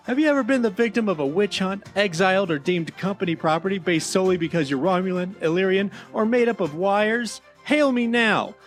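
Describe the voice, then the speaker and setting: A man plays a cocky Vulcan attorney in a spoof commercial. His high voice sells hard and picks fights, over a light music bed. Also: a little fast, few pauses, natural voice. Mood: cocky pitch. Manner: cocky, hard-selling, combative. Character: brash sleazy Vulcan lawyer in a commercial. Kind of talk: parody radio ad pitch.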